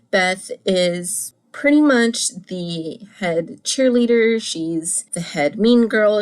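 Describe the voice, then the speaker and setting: A woman hosting a solo podcast, chatting in an animated, casual way, a little congested and coughing after a cold. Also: medium pitch. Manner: chatty, animated, laughs and restarts often. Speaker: podcast host.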